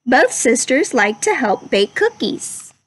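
Young lady with a high voice doing a kids read aloud, explains things simply, out on a covered porch type spot. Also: sing-song, slow pace, husky. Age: young adult. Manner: simple, instructive, addressing children. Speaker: read-aloud storyteller for children. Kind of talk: children's picture book read-aloud and chat to young viewers. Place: outdoors under a covered wooden pavilion.

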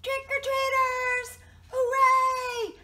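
A woman voicing a tiny, timid, excitable storybook mouse in a squeaky, exclaiming voice. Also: drawn-out words, full character voice. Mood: delighted. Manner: startled, wide-eyed exclaiming.

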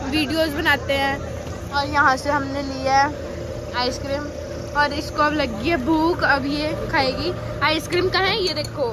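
A young woman vlogging casually to her viewers on a busy outdoor street, chatty and teasing, filmed on a phone held at arm's length. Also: sits high. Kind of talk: casual travel vlog. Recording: crowd and street noise.

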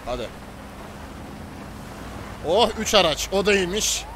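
A man with a fairly high-pitched voice banters through an online roleplay game. He is bossy and excitable, repeating short orders. Loud vehicle noise from the game runs behind him. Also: slow. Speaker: gamer playing a robber and gang leader.

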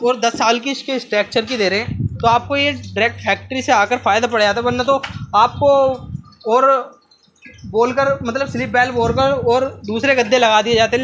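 A young man talks like a factory salesman showing his goods. His phrasing is informal and halting, with frequent repetition. He is recorded on a phone a few metres away, with clear workshop noise and clicks around him. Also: very high-pitched, a bit bright. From India. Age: young adult. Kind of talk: informal product walkthrough sales pitch. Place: busy furniture factory workshop, indoors. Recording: noisy workshop, phone camera at distance.

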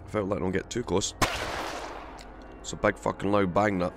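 A man with a cold, hoarse and gravelly, casually commentating a game he plays and swearing freely. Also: low voice, little pitch movement, moderate pace, background noise. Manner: swears freely, rambling and chatty. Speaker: let's play host.

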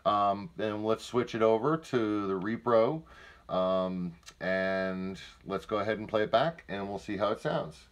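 Low-pitched man, casual demonstration. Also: measured pace.

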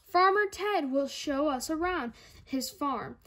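A woman's voice: sits high, lively intonation, slow pace, a bit bright, full character voice. A young girl voicing a concerned, firm female rabbit schoolteacher from a storybook, emphatic as she instructs. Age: child.

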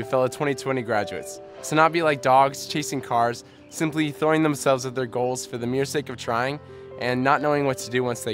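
A man's voice: medium pitch, a bit bright. Earnest young man, formal speech. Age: young adult. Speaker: graduating student speaker. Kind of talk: prepared commencement speech. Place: backyard outdoors.

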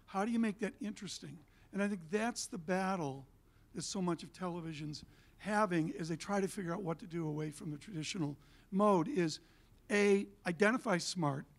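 A man's voice: high-pitched, fairly quick, vocal fry. An older man speaking emphatically on a conference stage, gesturing as he holds a handheld mic. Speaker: panelist, TV and media figure. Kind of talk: conference panel talk.

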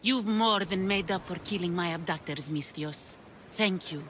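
A woman's voice: lowish voice, moderate pace, fairly bright tone, slight background noise, close to an everyday voice. A woman playing a composed, independent, grateful Greek woman in a video game. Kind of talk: dramatic video game acting.

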